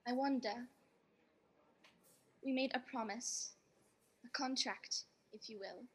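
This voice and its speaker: A woman playing an earnest, sorrowful young fiancée in a radio drama, measured and pointed. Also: a little high, breathy edge. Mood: hesitant, pointed. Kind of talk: stage-style radio drama acting.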